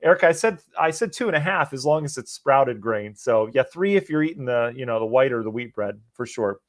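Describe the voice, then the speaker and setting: Male TV weatherman, mid pitch, slightly hoarse and sniffly, relaxed live Q&A, dry close sound. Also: a little fast, dry recording. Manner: easygoing, chatty, answers viewers by name. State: losing his voice, a bit sniffly. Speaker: meteorologist. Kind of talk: live-stream viewer Q&A on the weather.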